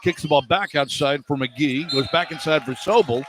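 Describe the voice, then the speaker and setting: A man's voice: a little high, moderate pace. A man doing live radio play-by-play of basketball, describing the action in clipped running phrases. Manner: clipped running description, excited on key plays. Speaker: play-by-play sports announcer. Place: courtside in an indoor arena. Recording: clear arena noise behind voice. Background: arena ambience.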